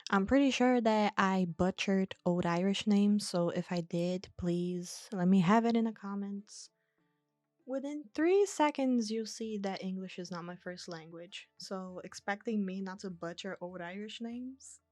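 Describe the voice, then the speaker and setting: A young woman talks in a slow, unhurried way. Her voice is warm and mellow. She sounds measured and self-deprecating, with faint music underneath. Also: medium pitch, no echo. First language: Portuguese. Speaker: video essayist. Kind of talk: reading a scripted analysis, then chatting.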